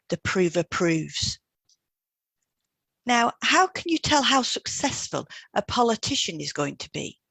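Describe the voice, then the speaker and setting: A woman with a fairly low voice co-hosts an educational podcast. She reads prepared explanations clearly aimed at teaching listeners, in a very dry recording free of room sound. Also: fairly bright tone, no room sound. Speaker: podcast co-host and hypnotherapist. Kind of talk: scripted educational podcast read aloud.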